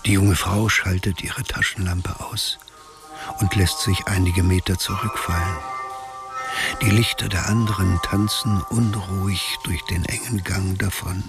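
A man's voice: deep voice, fairly even intonation, measured pace, loud music behind the voice. A man narrating a radio drama in a grave, detached, documentary-like manner. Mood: hushed, grave.

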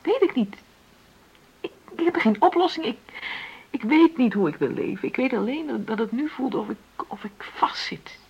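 An actress in a radio drama playing an unhappy middle-aged wife who feels trapped, speaking openly and haltingly in a husky voice. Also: female voice, fairly high pitch, expressive, darkish tone, highs cut off. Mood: despairing. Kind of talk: naturalistic radio drama dialogue.

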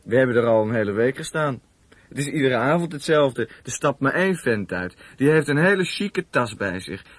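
An actor playing a smooth-talking petty crook in a Dutch radio drama, mock-friendly, boastful and condescending. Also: male voice, medium pitch, animated, fairly quick, dry recording. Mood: condescending, instructing. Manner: jovial, condescending, wheedling.

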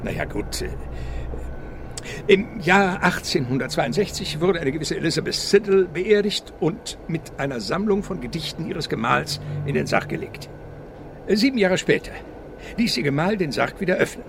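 An actor playing a policeman who recounts eerie legends with suspenseful pauses in a radio crime drama. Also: a little high, expressive, creaky, noisy background. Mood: suspenseful, relishing. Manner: storytelling, pausing for suspense.

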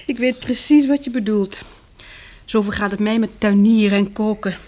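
Woman acting as worried caring housewife survivor, gentle, mid pitch, dry radio play sound. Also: normal speed, no echo, lightly acted. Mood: gentle concern.

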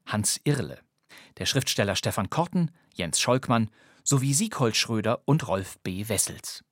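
Guy hosting a podcast, husky voice, animated delivery, reads credits, dry close sound. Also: lowish voice, no room sound. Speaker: podcast host. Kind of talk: scripted announcement of credits.

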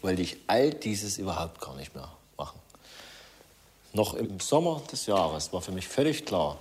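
Man from East Germany, very low, rough-edged voice, candid reflection, insistent repetition. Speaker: pub owner and amateur sculptor.

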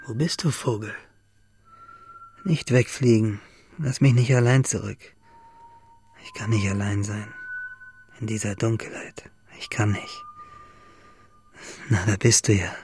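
An actor playing a wistful, tender young shipwrecked seaman in a radio drama monologue, intimate and halting, with a husky voice. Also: fairly low pitch, a little hiss or hum. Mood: exhausted, desperate. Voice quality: husky, gravelly, breathy.

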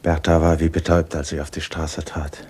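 A man narrating a literary radio drama in a measured, hushed, solemn and restrained manner. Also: very deep voice, level tone, husky, heavily acted.

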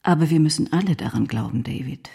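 A woman playing a solemn, gentle schoolmaster's wife in a radio play, speaking in a breathy half-whisper. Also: very deep voice, quick pace, heavily acted. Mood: grave, hushed. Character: solemn schoolmaster's wife gently breaking grave news to child.

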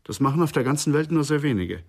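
A man's voice: fairly high pitch, animated, fast talker, a little mellow. An actor playing an earnest psychoanalyst in a German radio crime drama, speaking formally and precisely, with reverence for his profession. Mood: earnest, reverent.

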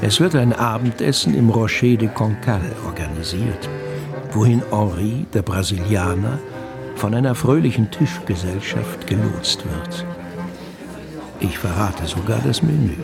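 An actor playing a proud novelist who confides in the listener in a radio play, conversational and gravelly. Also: male voice, lowish voice, animated, unhurried. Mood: confiding, self-satisfied. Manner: conversational, self-satisfied, confiding. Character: proud, chatty male novelist presenting his own novel. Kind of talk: first-person narration in German radio play. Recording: music playing under the voice.

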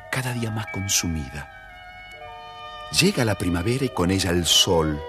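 A man expressively narrating a scripted children's story. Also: on the low side.

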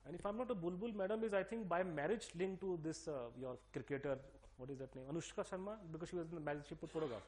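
Middle-aged Delhi-accented man, quick-fire emphatic explainer, higher-pitched voice, lots of restarts. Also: a little mellow. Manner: rapid, emphatic, many fillers and restarts. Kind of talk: interview-style explanation.

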